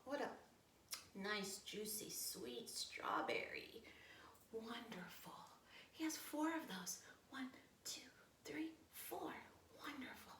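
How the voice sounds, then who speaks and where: A woman teaching yoga storytime to young children, encouraging and playful, with expressive storytelling and hushed, breathy moments. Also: a little high. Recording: roomy echo with faint noise.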